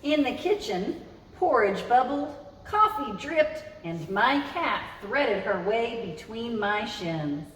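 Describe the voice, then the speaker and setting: A woman's voice in a roomy, echoing space, picked up from a distance with some noise. She reads aloud for children as a storytime host, with very lively swings in pitch. She addresses her young listeners directly and expressively. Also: slow.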